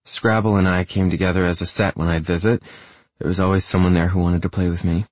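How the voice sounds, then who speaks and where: A man's voice: deep voice, level tone, fast talker, darkish tone, dry recording. A man voicing a bubbly, self-conscious, sweetly oddball young woman in an audiobook, gushing and a little hesitant. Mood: playful, chatty. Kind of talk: audiobook character dialogue.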